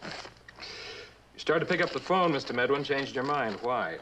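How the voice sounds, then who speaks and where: An actor playing a determined, sardonic traveler in a suspense drama, speaking in clipped, insistent, dryly sarcastic lines. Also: male voice, mid-range voice, lively intonation, unhurried, bright, forceful tone, slight background noise, low-quality recording. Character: determined, sardonic male traveler pressing for answers.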